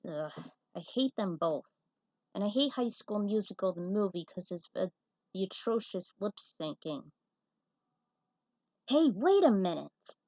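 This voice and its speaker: A woman's voice: lowish voice, a little mellow, dry recording. A woman casually commenting on and reading out her own fan fiction, opinionated and blunt, with some swearing. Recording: dull, muffled lo-fi recording.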